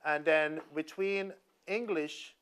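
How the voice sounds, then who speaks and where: A Jamaican chef, a man, explaining things slowly and haltingly on a cooking show, with many pauses and ums. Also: a little high, a bit bright, smooth. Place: studio kitchen.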